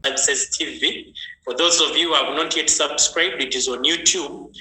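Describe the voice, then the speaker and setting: A man's voice: a little high, steady tone, moderate pace, sharp tone. A man hosting an online health training, chatty and greeting viewers by name. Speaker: health session host. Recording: echoey room with faint noise.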